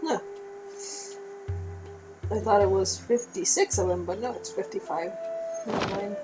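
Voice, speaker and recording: A woman's voice: medium pitch, animated, a little slow, rough recording. A woman streaming a video game, reacting casually as she plays. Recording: game music behind the voice. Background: game music.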